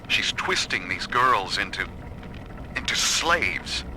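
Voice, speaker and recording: An actor playing an anxious amateur investigator, recording grave, troubled notes in a game audio diary. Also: male voice, lowish voice, pushed and bright, full character voice. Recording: faintly noisy audio log.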